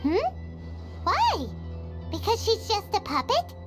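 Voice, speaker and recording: A woman voicing an excitable, cheeky little fairy companion in a video game, chattering in high squeaky bursts. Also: takes it slowly, heavily acted. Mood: chatty. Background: game music.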